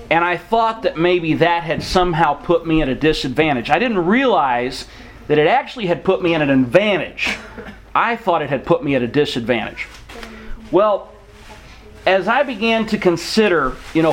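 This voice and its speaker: A man who grew up in Mexico, preaching an earnest, encouraging sermon to young people and reading scripture aloud. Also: on the high side. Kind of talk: sermon to missionary kids.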